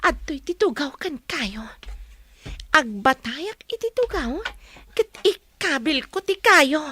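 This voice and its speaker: A woman's voice: high-pitched, sing-song, slow pace, bright, forceful tone, slight background noise, heavily acted. A woman with an Ilocano accent voicing a mischievous young girl plotting pranks in a comedy radio drama. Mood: gleeful scheming.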